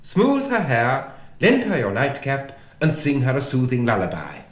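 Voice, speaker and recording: A man's voice: medium pitch, wildly swooping pitch, a little slow, voice pushed up. A man voicing an imperious, patronising queen from a children's storybook. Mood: bossy, soothing. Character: bossy, brisk storybook chess queen, a grown woman. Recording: echoey room.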